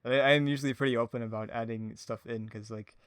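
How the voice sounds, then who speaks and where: A man of average pitch hosts a casual podcast conversation. He is agreeable and easygoing, feeling his way through sentences with hesitant phrasing, in a dry recording with no audible room. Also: fairly even intonation, fast talker, clean tone, no room sound. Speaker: podcast host, audio drama producer. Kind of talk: casual chat.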